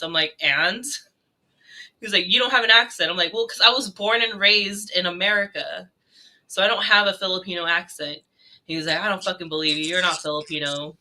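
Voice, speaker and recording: Bright-voiced young woman telling stories. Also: fairly low pitch. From California. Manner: storytelling, swears casually, mimics others in quotes. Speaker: podcast co-host. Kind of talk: casual chat.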